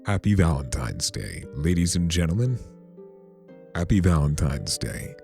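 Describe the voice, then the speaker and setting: A man playing an immortal trickster creature, awkward, tender and teasing, with hesitant dramatic pauses in a fan audio drama. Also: low voice, sing-song, vocal fry, darkish tone, exaggerated voice. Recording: music behind the voice.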